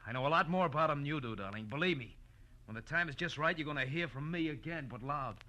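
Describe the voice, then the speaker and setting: An actor playing a smug, slick American blackmailer with a condescending, menacing manner in a 1940s radio crime drama. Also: male voice, fairly high pitch, quick pace, pushed and bright. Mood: scornful threatening. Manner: smooth-talking, smug, condescending. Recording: noisy vintage radio drama recording.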